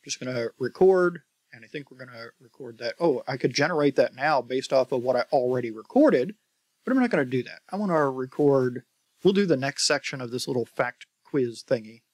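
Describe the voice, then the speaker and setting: A man in his forties with an American accent hosting a casual software tutorial in a conversational, emphatic way. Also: mid-range voice, animated, brisk, no room sound. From United States. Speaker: tutorial host.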